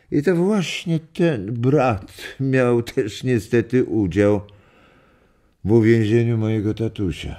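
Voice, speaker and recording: An actor playing a playful, teasing old grandfather in a children's audio drama, telling stories with theatrical, drawn-out emphasis. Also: mid-range voice, dark tone.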